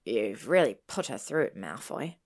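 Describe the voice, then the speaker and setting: A woman's voice: a bass voice, lively intonation, normal speed, heavily acted. A woman playing a loyal, protective teenage boy wizard in a story, speaking bluntly and sternly.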